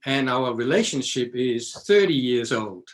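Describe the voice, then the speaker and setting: Older man recounting calmly. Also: medium pitch, a little slow. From Denmark. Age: 60s. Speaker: interview guest. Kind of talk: storytelling in a podcast interview.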